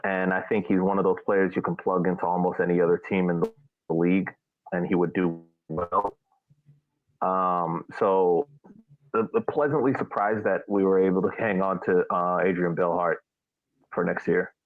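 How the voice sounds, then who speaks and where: Low-voiced man on tinny phone-like audio. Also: steady tone, normal speed, no room sound, faint music behind. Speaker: co-host of a soccer fan podcast. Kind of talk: casual sports chat.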